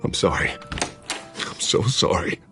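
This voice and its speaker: A man's voice: slow, lightly acted. An actor playing an anguished, unhinged man, pleading in guilt and fear with a breaking voice, in a video game drama. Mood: broken, remorseful. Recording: music behind the voice. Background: music.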